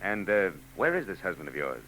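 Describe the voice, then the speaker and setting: An actor playing a hardboiled private eye in a 1940s radio drama, dry and sardonic with quick wisecracks. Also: male voice, sits low, huge pitch swings, sharp tone, slight background noise, full character voice, rough recording. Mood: wry. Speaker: narrator and lead.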